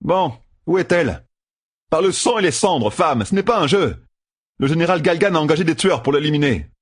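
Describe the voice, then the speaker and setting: A man's voice: fairly high pitch, animated, moderate pace, husky, dry recording, studio quality, voice pushed up. A man voicing a cocky, grinning young gambler with glib, exclamatory banter in a fantasy audiobook. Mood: urgent. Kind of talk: audiobook fantasy character dialogue.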